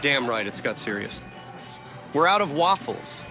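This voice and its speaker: An actor playing a flippant, cocky ghost hunter in a motion comic, full of sarcastic wisecracks. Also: male voice, medium pitch, sing-song, a little slow. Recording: loud music behind.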